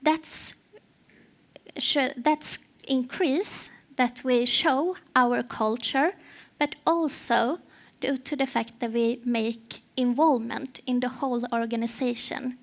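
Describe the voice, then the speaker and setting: A woman's voice: a little high, fairly even intonation, slow. A woman with a Swedish accent, a non-native English speaker, giving a conference presentation with frequent hesitations. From Sweden. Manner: hesitant, searching for words in a second language.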